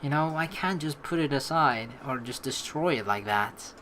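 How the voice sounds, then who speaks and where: A let's player reading game text aloud and giving casual, rambling, halting commentary as they think out loud. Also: mid-range voice, animated, slight background noise.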